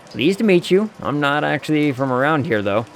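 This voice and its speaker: A man voicing a friendly, teasing hobo drifter with a folksy, unhurried way of talking, reading a game character's lines aloud. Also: fairly high pitch, animated, slight background noise.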